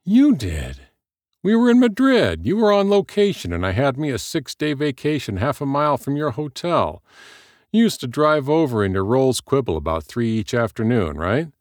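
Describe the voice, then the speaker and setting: A man's voice: medium pitch, sing-song, normal speed, a little mellow, no echo, clean studio sound, voice pushed up, close to an everyday voice. A man voicing a persistent, pushy man who keeps calling. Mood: insistent. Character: persistent, intense man, obsessive caller.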